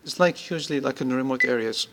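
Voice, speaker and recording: A man with a mid-pitched voice walks through an app in an informal, unscripted way. He builds his sentences haltingly, in short bursts. The homemade recording carries some faint noise. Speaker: app demonstrator. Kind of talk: informal, unscripted product demo.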